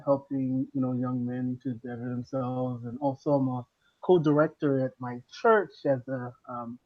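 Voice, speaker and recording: A man from the Bahamas, a guest on a live podcast, joining by video call and chatting in a relaxed, slangy way. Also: mid-range voice, lo-fi sound. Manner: laid-back, slangy, lots of "you know". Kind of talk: casual video-call chat.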